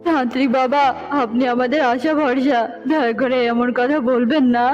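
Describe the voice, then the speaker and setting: A woman's voice: sits high, steady tone, moderate pace, darkish tone, close to an everyday voice. A woman voicing a brave, devoted young bird in a cartoon, earnest and resolute. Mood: pleading. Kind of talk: cartoon dubbing. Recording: dull lo-fi audio with music bed. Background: music.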